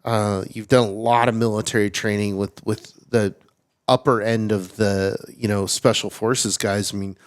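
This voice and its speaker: A man hosting a podcast interview, talking in a relaxed way full of fillers like "you know". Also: on the low side, moderate pace, dry recording. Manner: relaxed, filler-heavy, admiring. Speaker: podcast host. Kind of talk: casual interview.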